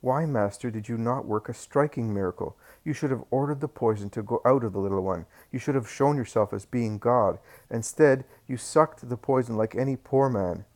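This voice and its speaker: A man's voice: mid-range voice, normal speed, few pauses, a little mellow, lightly acted. Man reading a grumbling letdown disciple, mid voice. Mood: complaining. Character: disappointed, complaining male disciple.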